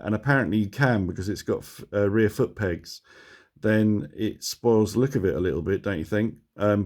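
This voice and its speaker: A man's voice: fairly low pitch, darkish tone. A middle-aged man with a sore throat, chatting casually to his phone camera about motorcycles, rambling and chuckling at his own asides. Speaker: motorcycle vlogger.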